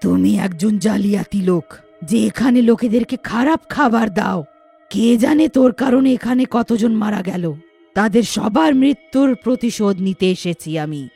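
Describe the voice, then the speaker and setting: A woman's voice: medium pitch, lively intonation, measured pace, gravelly, warm tone, slightly rough, soft background music, heavily acted. A woman voicing a wronged elderly mother turned witch ghost in a story, emphatic, reproachful and pleading. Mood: angry accusing.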